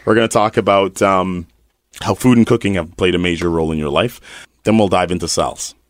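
A man hosting an interview, teasing and joking with his guest in a relaxed chat. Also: deep voice, no room sound, studio quality. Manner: playful, teasing, quick to laugh. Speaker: podcast host.

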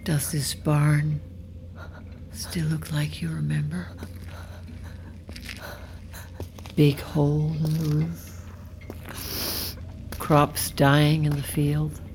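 Slow, low-voiced grieving farm widow. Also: female voice, completely flat delivery, gravelly, a little hiss or hum, exaggerated voice. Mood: quiet menace. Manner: slow, deliberate, hushed. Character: grieving farm widow and mother, bitter, quietly menacing. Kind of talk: naturalistic audio drama acting.

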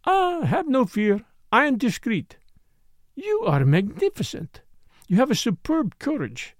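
A man's voice: extremely high pitch, huge pitch swings, a little slow, no echo, studio quality, pitched above the performer's own voice, exaggerated voice. A man voicing a seductive, mocking Frenchwoman with a put-on French accent, in a teasing murmur. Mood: coaxing.

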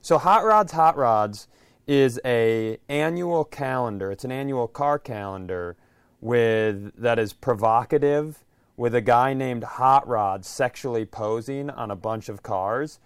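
A man's voice: mid-range voice, a little slow, smooth, no room sound, clean studio sound. An American man bantering casually and comedically as a podcast co-host.

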